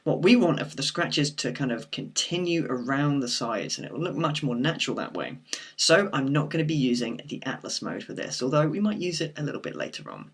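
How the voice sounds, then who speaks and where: A man with a croaky voice from a sore throat, talking through a screen-recorded software tutorial in a steady explanatory way. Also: medium pitch, brisk. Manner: steady explanatory walkthrough with occasional fillers.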